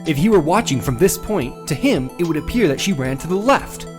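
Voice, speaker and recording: A man plays an earnest young male defense attorney in a game drama. His voice sits a little high and swings expressively, with emphatic, declamatory phrasing and a sardonic edge. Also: animated, brisk, lightly acted. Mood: accusing, confident. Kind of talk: dramatic voiced-over video game courtroom dialogue. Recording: music behind the voice.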